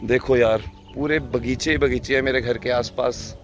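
A man's voice: medium pitch, steady tone, moderate pace. A young man from Himachal Pradesh, chatting informally to viewers in a casual vlog, recorded outdoors on a phone at arm's length. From Himachal Pradesh, India. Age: young adult. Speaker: vlogger. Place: outdoors, rural farmhouse yard and fields. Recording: phone video with music under voice. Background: music.

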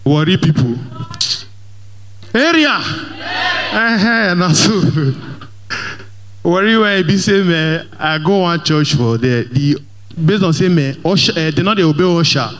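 A young Nigerian Pidgin-accented man doing stand-up comedy on a church stage, slightly high-voiced and lively. He mixes Pidgin with English and talks straight to the crowd through a handheld mic. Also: unhurried, pauses often. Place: large church hall stage. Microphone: handheld microphone.

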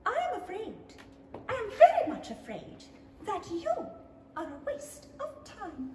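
An extremely high, swooping mouse voice, theatrical, woeful and quick to take offence. An old-style radio play in a noisy, echoey hall. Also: female voice, wildly swooping pitch, heavily acted. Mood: offended. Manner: theatrical, wailing. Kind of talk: 1930s radio play acting. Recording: echoey hall, clear noise.

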